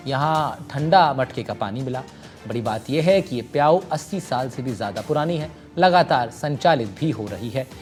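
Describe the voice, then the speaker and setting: A man's voice: medium pitch, lively intonation, moderate pace, faint music behind. Man reading a formal news introduction. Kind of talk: scripted news narration.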